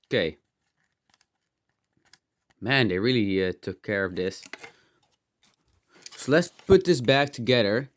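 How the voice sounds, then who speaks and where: A man with a slightly dry, strained throat gives casual hands-on commentary, rambling and thinking aloud with muttered asides and mild exclamations. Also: medium pitch. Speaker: host of a hobby electronics teardown video. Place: indoors in a cluttered room at home.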